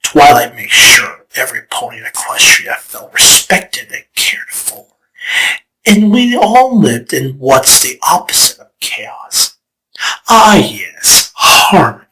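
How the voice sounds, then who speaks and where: Man playing a chaos lord, theatrical, bright tone, lively swoops. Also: mid-range voice, slow pace, full character voice, rough recording. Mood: nostalgic. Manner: theatrical, showy storyteller. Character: grandiose old male draconequus lord of chaos. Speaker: fan voice actor. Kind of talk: comic fan dub acting.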